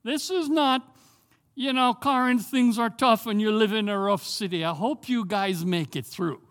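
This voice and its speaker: Older Jamaican man preaching, high voice. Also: a bit bright, in a large hall. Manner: earnest, emphatic, slows down to stress key phrases. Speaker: pastor. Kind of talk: sermon.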